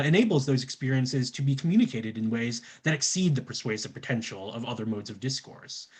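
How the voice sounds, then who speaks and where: A man reading a scripted academic presentation in formal scholarly wording, often putting an "uh" before phrases. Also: mid-range voice.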